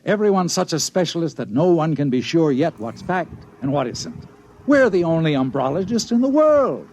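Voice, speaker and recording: An actor playing an eager, cocksure schemer in a theatrical 1950s radio drama style. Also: male voice, fairly high pitch, sing-song, normal speed, darkish tone, slight background noise. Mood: indignant, cocksure. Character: eager, scheming self-proclaimed shadow scientist, brisk and cocksure.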